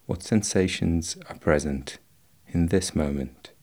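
A man guiding a meditation in a slow, measured, instructional way, leaving long pauses between phrases. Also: low-pitched, darkish tone. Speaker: meditation guide. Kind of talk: guided body scan meditation.

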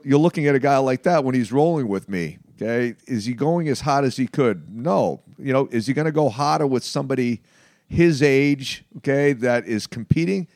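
A man in his late fifties speaking conversationally in an interview, in a halting, emphatic way with frequent check-ins. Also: medium pitch, darkish tone, no echo, clean studio sound. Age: late 50s. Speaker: martial arts practitioner being interviewed.

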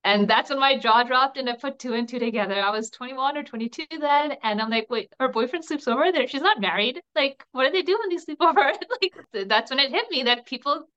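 A woman in her thirties with a smooth, resonant voice pitched a little high. She talks earnestly and chattily as an interview guest. Her sentences often stop and restart. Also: fairly quick, few pauses, a bit bright, highs cut off. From New Jersey, USA. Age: 30s. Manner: earnest and chatty, with frequent restarts and small laughs. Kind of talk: candid personal interview.

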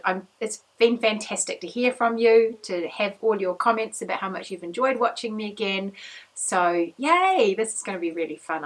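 A woman in her thirties with a slightly croaky voice, hosting a podcast in a chatty, upbeat, rambling way. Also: mid-range voice, fairly bright tone. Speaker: podcast host. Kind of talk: casual chat.

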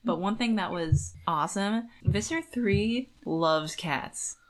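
A woman's voice: mid-range voice, takes it slowly. A woman co-hosting a podcast, talking through a book in a casual, rambling way with lots of informal filler phrasing. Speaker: podcast co-host. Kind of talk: casual book discussion chat.

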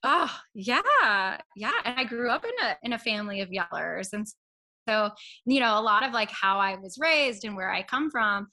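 A woman's voice: medium pitch, expressive, moderate pace, pushed and bright. A woman in her thirties, a guest on an interview show, talking in a chatty, self-deprecating way and often laughing at herself. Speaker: podcast guest. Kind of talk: casual conversational interview.

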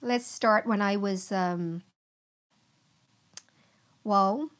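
A middle-aged woman from Vietnam, a guest on a podcast, sharing her testimony in slow, halting, earnest speech full of pauses. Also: medium pitch, a bit bright.

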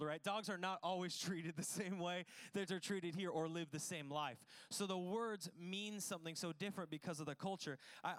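Man, pastor, casual sermon, self-deprecating humor, high pitch, clear resonant tone. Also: a bit bright. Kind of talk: conversational sermon. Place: church service with congregation.

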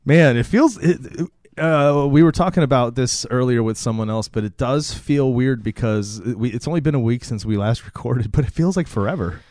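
A man's voice: mid-range voice, moderate pace, a little mellow, no echo. A man co-hosting a podcast in casual banter, joking and prompting the others along. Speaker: podcast co-host.

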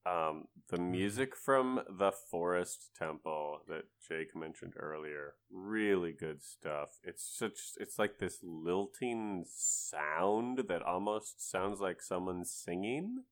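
Male podcast panelist with a low voice, informal and conversational. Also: animated, takes it slowly, few pauses. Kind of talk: casual chat.

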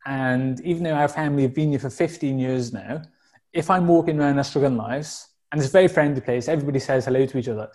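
A man's voice: mid-range voice, quick pace, a little mellow. A man in his thirties from South Wales with a Welsh accent, a guest talking openly and reflectively in a casual interview, with frequent fillers and restarts. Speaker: podcast guest.

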